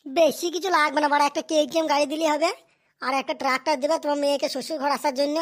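An excitable, scheming village elder from a comedy cartoon played by a performer. The voice is high and rattles along at breakneck speed. The delivery is coarse, full of scolding and haggling. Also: racing, close to an everyday voice.

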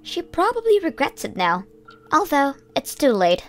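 A woman's voice: high-pitched, huge pitch swings, moderate pace, soft background music. A woman giving an earnest, scripted first-person narration of a story.

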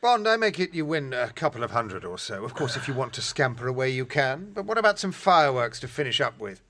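An actor playing an arrogant, bullying rich card gambler in a radio drama, haughty, goading and blustering. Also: male voice, mid-range voice, very animated, brisk, a bit bright, slight background noise.

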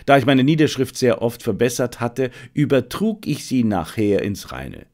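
A man narrating a detective story as an audiobook, expressive and deliberate in his storytelling. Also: medium pitch.

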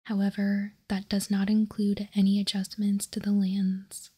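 A woman whispers an ASMR explanation close to the microphone. Her delivery is slow and very even, with a soft, mellow, breathy texture. She sounds calm and measured throughout. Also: mid-range voice. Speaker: host of a card game ASMR channel.

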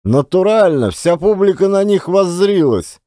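Man acting lively old Russian storyteller, folksy. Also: sits high, no echo, clean studio sound, voice pushed up. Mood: animated recounting. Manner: colloquial, unhurried storytelling with rustic turns of phrase. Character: old former billiard marker, a folksy storyteller reminiscing. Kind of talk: one-man audiobook drama.

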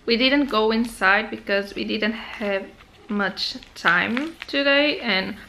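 A young woman vlogger with a Portuguese accent talks in an unhurried, drawn-out way, her voice sitting a little high. She chats to a camera held at arm's length and drifts from one thing to the next. A faint hiss of room noise sits under her voice. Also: vocal fry, pushed and bright, rough recording. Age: young adult. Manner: chatty, unhurried, easily sidetracked. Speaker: travel vlogger. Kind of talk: casual vlog chat. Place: hotel room and home living room. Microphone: handheld camera at arm's length.

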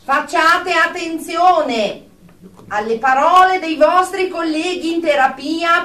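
Radio comedy: actress as icy, exasperated woman therapist, formal and sarcastic, very measured, distant in a roomy space. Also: high-pitched, fairly bright tone. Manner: icily formal, sarcastic, deliberate. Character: stern, exasperated female group therapist. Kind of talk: comic radio drama dialogue. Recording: echoey room, distant mic.

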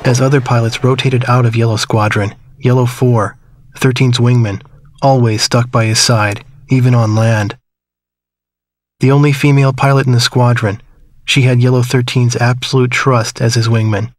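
An actor playing a wistful man recalling his wartime youth in measured, somber, reflective narration for a game. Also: mid-range voice, flat delivery, dry recording, clean studio sound, lightly acted. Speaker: story narrator. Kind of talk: scripted reflective first-person narration.

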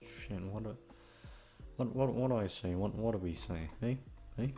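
A man's voice: on the low side, normal speed, dark tone, soft background music. A young man chatting off the cuff to his listeners, rambling and hesitant, with casual swearing. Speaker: ASMR audio creator, voice actor.

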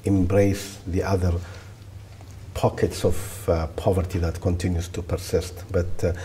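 A man in his fifties from Ethiopia, giving deliberate, steady answers as a guest in a studio interview, recorded on a lapel mic. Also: low voice, a little hiss or hum. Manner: deliberate, steady, with repeated restarts. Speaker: development bank regional director, guest. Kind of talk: measured policy interview answers. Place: indoor studio set, seated in wicker chair.

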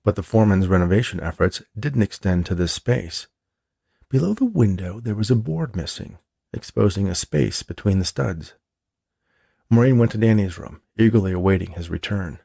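A man narrates an audiobook in a lowish, gravelly voice. His delivery is expressive and the sound is close and dry. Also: moderate pace, no room sound. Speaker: author reading own novel.

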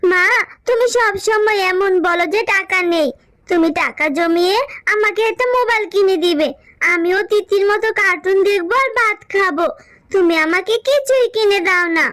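A woman plays a little cartoon animal girl with a very high, childlike voice. She is whiny and insistent, pleading and sulking in a dry, close recording. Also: normal speed, fairly bright tone, dry recording. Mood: sulky, whiny. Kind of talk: Bengali children's cartoon voice acting.

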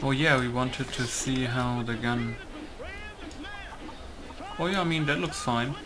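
A man's voice: mid-range voice, slow pace, noisy background. A man giving casual gameplay commentary, musing aloud and often asking himself questions. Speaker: gaming video host. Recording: music playing behind the voice. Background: music.

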